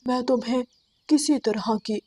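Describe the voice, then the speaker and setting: A woman voicing a grateful old male magical tree in a cartoon story, softly and solemnly. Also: on the high side, normal speed, no echo, lightly acted. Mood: kindly, reassuring.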